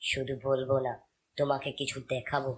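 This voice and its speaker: A man's voice: mid-range voice, monotone, moderate pace, slight background noise, lightly acted. A man voicing a clever, suspicious fox scholar in a cartoon, urgent and commanding. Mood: resolute. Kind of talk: cartoon voice acting.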